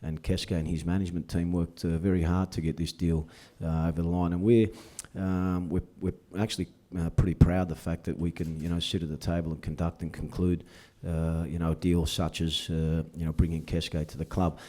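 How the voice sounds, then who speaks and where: Deep-voiced, hesitant man. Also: flat delivery, a little fast, hardly any pauses, warm tone. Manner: hesitant, full of uh and you know fillers. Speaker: club executive. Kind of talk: press conference answers.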